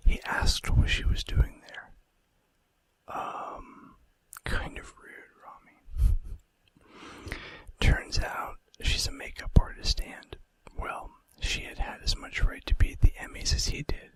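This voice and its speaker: A man doing an informal ASMR fact video in a breathy, unvoiced whisper with a hesitant delivery. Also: slow, no echo. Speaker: ASMR host.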